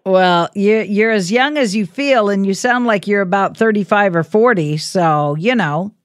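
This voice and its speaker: A woman hosting a call-in radio show, chatting gently and playfully with a caller. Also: medium pitch, a little slow, no echo, studio quality. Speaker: radio host. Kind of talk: late-night radio call-in chat. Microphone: studio mic.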